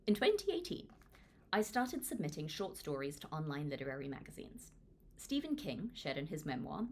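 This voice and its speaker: Expressive woman reading aloud, lively pitch. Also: slight background noise. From Hong Kong. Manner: expressive, informal, self-deprecating. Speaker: author reading their own book aloud. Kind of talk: audiobook read-along with casual asides.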